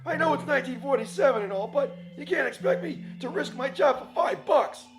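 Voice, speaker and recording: A man's voice: very high-pitched, lively intonation, fairly quick, music in the background. A man playing a grumbling, sarcastic cemetery caretaker in a comic 1940s-style radio play. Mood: gruff, indignant. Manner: sarcastic, put-upon.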